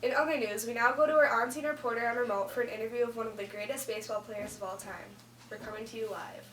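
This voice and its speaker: Woman as fast-talking 1920s news co-anchor. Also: fairly high pitch, fairly even intonation, natural voice. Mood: brisk. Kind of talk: scripted amateur mock newscast. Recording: roomy distant mic, noisy hum.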